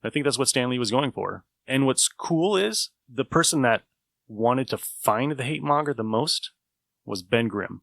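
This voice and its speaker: A man hosting a podcast, talking casually and rambling from point to point. His voice is gravelly and bright, and it is recorded close and dry. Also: medium pitch, lively intonation, a little slow, no echo. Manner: chatty, rambling, laughs easily. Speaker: podcast host. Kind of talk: casual chat.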